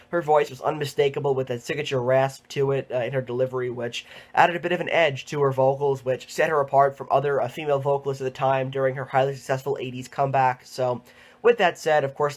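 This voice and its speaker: A man with a cold talks through a ranked countdown, his voice a little nasal. He speaks quickly and keeps his pitch narrow and level, padding his sentences with casual fillers. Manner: chatty, many fillers like "you know". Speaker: music video host.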